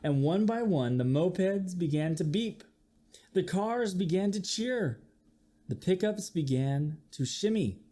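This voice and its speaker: Male, children's read-aloud, lively swooping intonation, unhurried pace, expressive, engages listeners with questions. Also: high voice. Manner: expressive, acts out sound effects, asks listeners questions. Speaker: teacher reading a picture book aloud to children.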